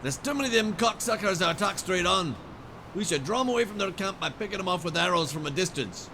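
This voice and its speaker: A man's voice: high voice, expressive, fast talker, noisy background. A man playing a blunt, foul-mouthed rustic rebel scout in a video game. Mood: gruff, scheming. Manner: folksy, coarse, blunt speech. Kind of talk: video game character acting.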